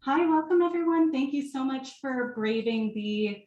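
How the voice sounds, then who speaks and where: A woman's voice: high voice, animated, normal speed, muffled recording. Welcoming woman host in a roomy hall. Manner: warm, welcoming, thankful. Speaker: program manager introducing the speaker. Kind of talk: event introduction. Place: community center event room.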